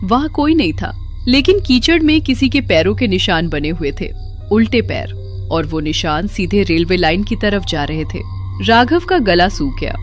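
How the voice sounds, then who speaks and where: A woman narrates a horror story in a dramatic, scripted style. Her mid-pitched voice moves widely up and down, with faint music underneath. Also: expressive, moderate pace.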